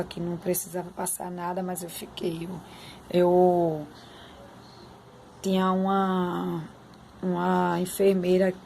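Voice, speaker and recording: Hoarse, heartfelt woman talking to viewers. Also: lowish voice, mellow, a little hiss or hum. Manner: heartfelt, chatty, addresses viewers directly. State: slightly hoarse. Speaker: vlogger. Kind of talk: emotional personal vlog.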